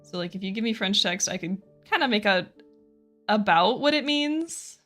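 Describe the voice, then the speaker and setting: A woman's voice: medium pitch, lively intonation, moderate pace, fairly bright tone, faint music behind. A young adult woman streamer chatting casually with viewers while she draws, giggling often and poking fun at herself. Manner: chatty, giggly, self-deprecating.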